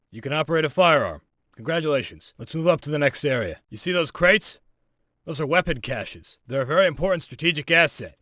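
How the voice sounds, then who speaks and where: An actor playing a brusque, foul-mouthed military firearms instructor in a video game, giving blunt, commanding orders. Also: male voice, a little high, sharp tone, no room sound, studio quality. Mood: firm, instructive. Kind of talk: scripted game voice lines, instructional and barked commands.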